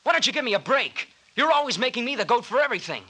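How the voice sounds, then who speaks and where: A man's voice: very high voice, sing-song, rapid-fire, bright, forceful tone, husky, heavily acted. An actor playing an earnest, hot-headed young American man, speaking emphatically in 1940s radio drama style. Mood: indignant. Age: young adult. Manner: emphatic, insistent. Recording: vintage old-time radio recording.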